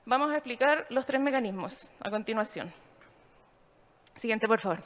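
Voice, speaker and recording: A woman giving a formal, explanatory slide presentation in a measured way. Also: fairly high pitch, animated, a bit bright.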